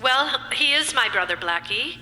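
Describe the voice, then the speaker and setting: A woman's voice: sits high, expressive, normal speed, bright, forceful tone, exaggerated voice. Lady doing a pleading rich woman in old radio mystery, swoopy voice, earnest, echoey hall. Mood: anxious, explaining. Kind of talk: old-time radio drama acting. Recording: reverberant hall, faint background noise.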